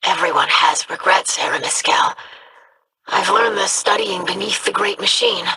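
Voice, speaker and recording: A woman's voice: mid-range voice, normal speed, sharp tone, breathy edge, heavily acted. An actress playing an earnest, polite young female alien scholar. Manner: polite, formal.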